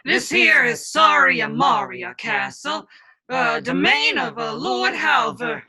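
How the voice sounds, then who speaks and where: A woman playing a gruff, crude troll jailer with a folksy, slangy drawl in an irreverent radio play. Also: sits high, expressive, slow pace, sharp tone, heavily acted. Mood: gruff, mocking.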